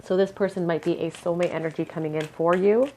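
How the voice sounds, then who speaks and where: Low scratchy-throated woman doing a tarot reading, keeps saying i feel, clears her throat a lot. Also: steady tone, quick pace, mellow. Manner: musing, hedges with "I feel". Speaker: tarot reader. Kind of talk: pick-a-card tarot reading.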